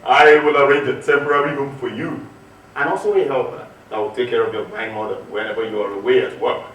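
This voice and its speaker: A man on stage playing a generous, important gentleman who speaks with emphatic reassurance. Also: medium pitch, fast talker, lightly acted. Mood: reassuring. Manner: emphatic, reassuring, projected delivery. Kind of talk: theatrical one-man stage acting. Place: hotel hall stage. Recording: distant echoey stage recording, faint noise.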